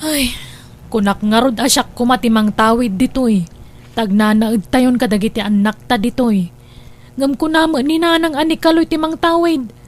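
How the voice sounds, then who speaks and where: A woman's voice: a little high, steady tone, normal speed, close to an everyday voice. A woman acting an insistent, emotive married daughter in an Ilocano radio drama. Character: pushy, excitable married daughter wanting the inheritance.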